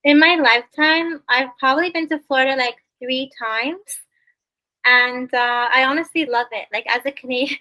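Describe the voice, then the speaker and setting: A bright, high woman's voice leads the interview in a chatty, friendly way. She fills her questions with "you know" and "like." She is the Canadian host of the show. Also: normal speed, rough recording. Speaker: podcast host. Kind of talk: interview hosting.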